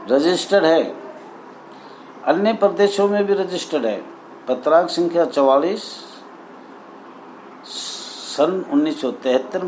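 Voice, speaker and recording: A man addresses association members as their leader, reading out documents and commenting in deliberate, halting short phrases. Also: mid-range voice, rough recording. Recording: steady background noise.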